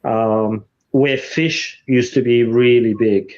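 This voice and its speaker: Halting man from Iraq, dull lo-fi sound. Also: medium pitch, steady tone, a little mellow. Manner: halting, with repeated words. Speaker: guest. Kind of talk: casual conversation.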